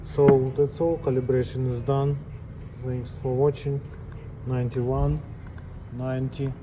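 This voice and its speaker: A man giving an unscripted step-by-step equipment tutorial as an instructor, speaking plainly and haltingly in short phrases. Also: medium pitch, level tone, background noise. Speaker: instructor demonstrating equipment calibration.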